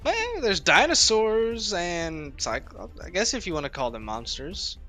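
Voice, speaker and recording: A man's voice: sits high, big pitch swings, measured pace, pushed and bright, faint music behind, exaggerated voice. A man playing a breezy, nonchalant pirate captain with an offhand, rambling manner in a tabletop game. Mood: matter-of-fact, casual. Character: breezy, casual pirate captain who shrugs off danger.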